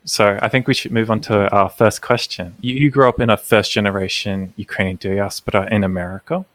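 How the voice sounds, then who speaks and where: Low-voiced male podcast host. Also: steady tone, brisk, fairly bright tone, no room sound. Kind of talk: scripted introduction, then casual interview.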